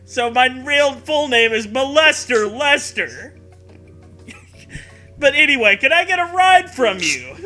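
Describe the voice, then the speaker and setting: Male comedy host, very bright, lively swooping delivery, sarcastic story-reading with laughter. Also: very high-pitched, slow, faint music behind. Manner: animated, sarcastic, often laughing through words. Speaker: podcast co-host. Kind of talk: comedic riffing while reading fan fiction aloud.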